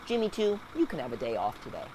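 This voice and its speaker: Animated, cocky schoolboy. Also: male voice, medium pitch, fast, heavy background noise. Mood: smug, upbeat. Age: young adult. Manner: showy, self-satisfied. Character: cocky, goofy schoolboy schemer.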